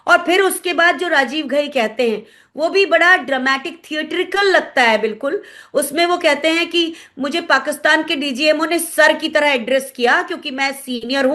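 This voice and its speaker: Indian woman, pretty high voice, talking through her analysis in an interview, stops to find words. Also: sharp tone. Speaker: guest commentator, former pilot.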